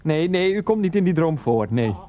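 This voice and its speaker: An actor playing a fussy, placating husband and son in a radio play, hesitant and repeating himself. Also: male voice, on the high side, lively intonation, moderate pace, highs cut off, noisy background, lightly acted.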